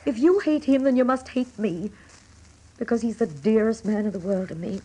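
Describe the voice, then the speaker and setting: An actress playing a weary, fiercely loyal older wife and mother in a radio drama, pleading emphatically in a husky voice. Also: on the high side, moderate pace, warm tone, low-quality recording. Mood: reproachful. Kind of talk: dramatic radio play acting.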